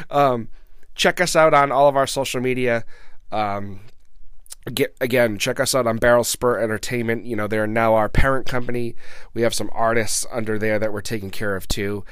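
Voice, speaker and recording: A man's voice: mid-range voice, no room sound. A man hosting a podcast, talking casually with lots of fillers and easy laughs. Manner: rambling, filler-heavy, laughing easily. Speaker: podcast host. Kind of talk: casual interview chat and outro.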